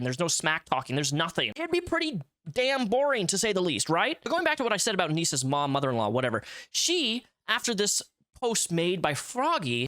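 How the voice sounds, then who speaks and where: A man narrates an online drama recap with insistent emphasis, piling up repeated words to press his point. His tone is bright and sharp, recorded in a dry, close space with no room echo. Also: high voice. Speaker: YouTube commentator. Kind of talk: drama commentary video.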